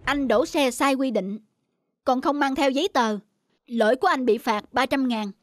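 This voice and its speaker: Woman playing young traffic policeman, high voice, stern, formal, by-the-book, cartoon dub, music behind. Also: moderate pace, no echo, studio quality, lightly acted. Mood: stern, official. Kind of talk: cartoon dubbing. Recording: music under the dialogue.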